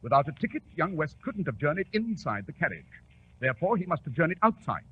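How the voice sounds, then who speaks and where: An actor playing a brisk, commanding, self-assured detective in a theatrical, crisp 1940s radio drama style. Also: male voice, fairly high pitch, very animated. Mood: confident, explaining. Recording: tinny, noisy 1940s radio recording.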